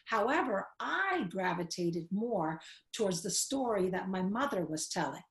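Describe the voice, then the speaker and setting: Queens-raised woman, guest on a talk show, calm explainer, echoey room. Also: mid-range voice. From New York City, Queens. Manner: measured, explanatory, slows for key points. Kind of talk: reflective interview answers. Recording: roomy echo.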